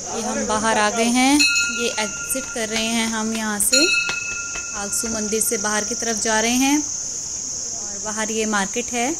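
A woman vlogging as she walks a mountain trail, cheerfully pointing out the sights to her viewers. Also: on the high side. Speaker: travel vlogger. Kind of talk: walking travel vlog commentary. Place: outdoors on a mountain trail near a waterfall. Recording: outdoor phone audio with water noise. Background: rushing water.

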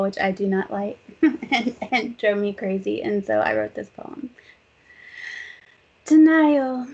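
A woman, a poet, reading her own poems in a measured recitation over a video call. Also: mid-range voice. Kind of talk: poetry reading. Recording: dull, lo-fi video call audio.

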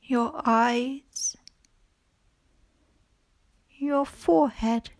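A woman teacher leading a guided mindfulness body scan for children, speaking calmly and gently in short phrases with long pauses. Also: sits high, creaky. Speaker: primary school teacher leading a guided meditation.

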